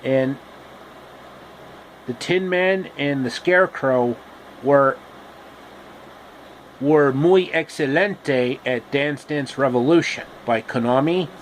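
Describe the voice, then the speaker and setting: A man narrating a comic story casually and haltingly, pausing mid-sentence. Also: mid-range voice, slight background noise, low-quality recording.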